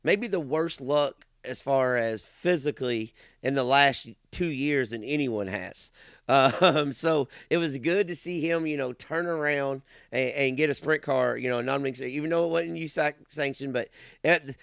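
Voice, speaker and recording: A man with a Kentucky accent chatting casually as a podcast host in a folksy, halting manner. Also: mid-range voice, gravelly, fairly bright tone, clean tone, no echo, studio quality. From Kentucky, United States. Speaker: podcast host, race announcer. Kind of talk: casual chat.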